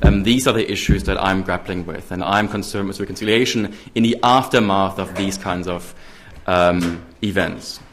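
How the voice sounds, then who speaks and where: A man in his thirties with a German accent, giving a formal keynote lecture at a podium microphone in a large hall, measured with frequent ums. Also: deep voice, fairly even intonation, a little hiss or hum. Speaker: keynote speaker. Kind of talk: academic lecture.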